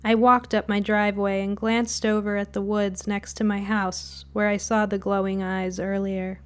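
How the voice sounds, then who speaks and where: A woman's voice: medium pitch, little pitch movement, moderate pace, clean tone. A woman reading a scripted first-person spooky short story as its narrator.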